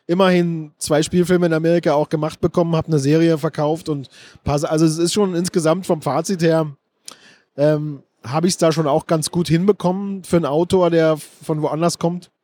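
A man's voice: on the high side, fairly even intonation, moderate pace, a little mellow, no room sound. A middle-aged man interviewed at a book fair, speaking thoughtfully with frequent restarts and fillers. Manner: thoughtful, restarts sentences often, many fillers. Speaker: interview guest, screenwriter and director. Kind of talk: casual interview talk.

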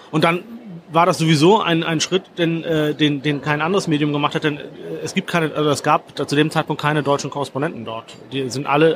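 A man in his forties, a foreign correspondent, answering interview questions in a measured, thoughtful way with frequent fillers and restarts. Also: a little high, a bit bright, slight background noise. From Germany.

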